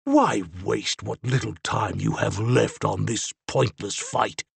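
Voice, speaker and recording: Audiobook villain: an ominous inhuman monster, very low and rough, declaiming grandly. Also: male voice, slow pace, no echo, full character voice. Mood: menacing, grandiose. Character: menacing faceless shelled monster, reshaper of time and space.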